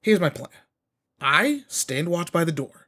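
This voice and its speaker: Man acting a cocky teen delinquent, higher-pitched voice. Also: big pitch swings, measured pace, bright, forceful tone, full character voice. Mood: confident, brash. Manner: brash, casual, slangy teasing. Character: cocky, teasing teenage male delinquent, protective and sweet underneath. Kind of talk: roleplay audio drama, addressed to the listener.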